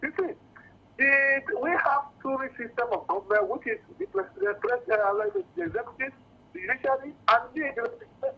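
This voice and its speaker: Male Nigerian phone-in caller, very high, lively pitch, earnest explanatory political commentary on a dull line. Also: a little fast, vocal fry. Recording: dull lo-fi phone call to radio.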